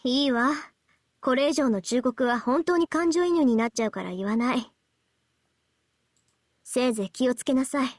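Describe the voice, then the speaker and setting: A woman playing a proud, easily flustered teenage girl mage in a Japanese visual novel, brisk and scolding. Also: sits high, no room sound, studio quality. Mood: resigned, admonishing.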